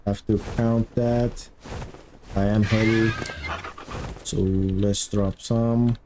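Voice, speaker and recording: A man from Turkey with a thick Turkish accent, chatting with viewers during a live game stream in halting non-native English. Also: on the low side, flat delivery, noisy background. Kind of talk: live stream chat while playing.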